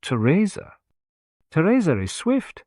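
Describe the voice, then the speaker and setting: A man's voice: on the high side, huge pitch swings, a little slow, a little mellow, no echo, pitched above the performer's own voice. Guy doing the detective's earnest sidekick, plain and practical, audiobook mystery, dry sound. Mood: tentative.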